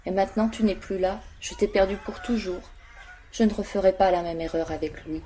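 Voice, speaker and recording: A woman's voice: medium pitch, a little fast, warm tone, a little hiss or hum, close to an everyday voice. A woman playing an anguished, protective young mother in an audio drama, speaking earnestly. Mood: remorseful, resolute. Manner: earnest, sorrowful. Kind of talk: dramatic monologue in an audio play.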